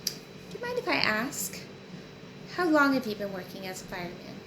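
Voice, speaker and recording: A woman's voice: on the high side, huge pitch swings, moderate pace. A woman voicing a chatty, guileless, inquisitive teenage girl from a novel in an audiobook reading. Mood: curious. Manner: inquisitive, earnest. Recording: roomy echo with clear background noise.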